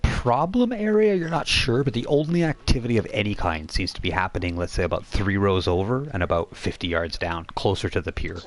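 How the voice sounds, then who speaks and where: A man's voice: lowish voice, animated, brisk, few pauses. A man running a tabletop game as game master, improvising narration and explaining rules in an informal way.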